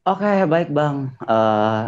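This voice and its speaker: Young man asking halting questions, lo-fi. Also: fairly high pitch, lively intonation. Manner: halting questions full of fillers. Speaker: podcast host. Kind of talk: casual podcast interview. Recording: dull lo-fi podcast recording.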